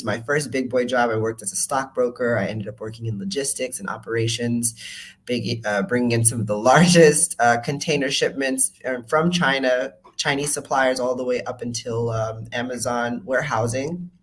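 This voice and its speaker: A man's voice: mid-range voice, moderate pace, a bit bright, rough recording. A man of about thirty from the United States, a podcast guest telling his story in a casual, chatty way with frequent fillers. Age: 30s. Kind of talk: interview storytelling.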